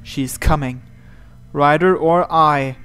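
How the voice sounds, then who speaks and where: A man's voice: a little high, slow, clear, resonant tone. A man reading first-person visual novel narration aloud as he plays. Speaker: game player reading aloud. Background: soft game music.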